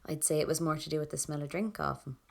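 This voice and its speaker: A woman's voice: deep voice, fairly even intonation, fast talker. An actress playing a nervy, plain-spoken young Irish rebel woman, telling her story in an intimate first person with an Irish accent. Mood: wry, tense. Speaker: narrator in an audio drama. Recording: clear background ambience noise.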